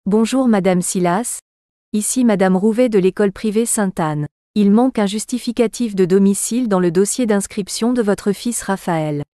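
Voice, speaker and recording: Polite school secretary played by a woman, mellow mid voice, formal message. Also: medium pitch, moderate pace, no echo, clean studio sound, natural voice.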